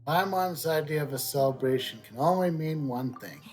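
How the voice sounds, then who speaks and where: A middle-aged man giving casual live game commentary, halting as he thinks aloud indoors. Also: fairly high pitch, soft background music. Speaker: game streamer.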